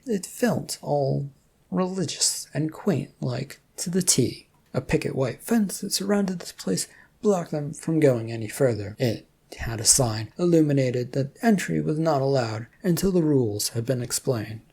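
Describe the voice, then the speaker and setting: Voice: mid-range voice, lively intonation, unhurried, vocal fry, warm tone, breathy edge. A storyteller narrating scripted fiction.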